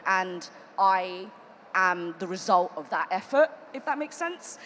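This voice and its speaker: A woman's voice: lowish voice, animated, unhurried, a bit bright. A woman on a conference panel, talking about her career in a reflective, loose way with many fillers. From United Kingdom. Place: conference hall. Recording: reverberant hall, faint noise.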